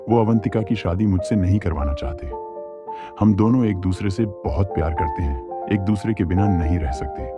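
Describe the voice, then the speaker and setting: A man playing an earnest, lovelorn gorilla doctor in a cartoon moral story. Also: low voice. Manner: earnest, reassuring.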